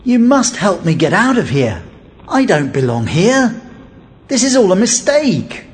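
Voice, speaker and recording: A man's voice: extremely high pitch, sing-song, moderate pace, exaggerated voice. A man playing a blunt, hot-tempered, bewildered working man in a dramatized storybook. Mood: pleading. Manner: blunt, exclamatory. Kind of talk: acted dialogue in a dramatized storybook. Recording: long hall reverb.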